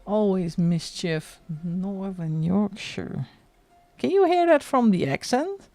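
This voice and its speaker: A woman's voice: mid-range voice, animated, slow pace, darkish tone, soft background music. A middle-aged woman from the Netherlands with a Dutch accent, chatting casually and laughing often while she colours on a livestream. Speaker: livestream host.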